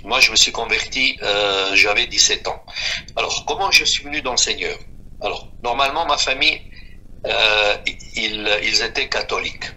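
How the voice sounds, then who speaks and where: The sort of man heard giving his testimony on a community radio slot: fifties, low-pitched, feeling his way haltingly from phrase to phrase. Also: sharp tone, low-quality recording. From Switzerland. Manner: halting, repeating himself. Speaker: guest giving a personal testimony. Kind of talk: unscripted spoken testimony.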